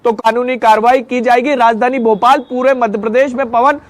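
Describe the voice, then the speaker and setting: A man's voice: very high-pitched, steady tone, fairly quick. A man reporting live as a field news reporter, in a wordy, repetitive way.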